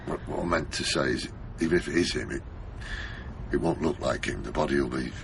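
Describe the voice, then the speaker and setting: A man playing an awkward, well-meaning policeman in radio drama, gravelly and halting as he reassures. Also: very deep voice, background noise. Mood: careful, awkward. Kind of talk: naturalistic radio drama acting.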